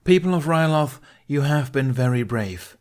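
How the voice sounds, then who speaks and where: A man voicing a calm, commanding male Jedi master from a science fiction story, speaking in a formal, measured way. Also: mid-range voice, pitched above the performer's own voice, close to an everyday voice. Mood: reassuring, projecting. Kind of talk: acted audiobook dialogue in a science fiction story.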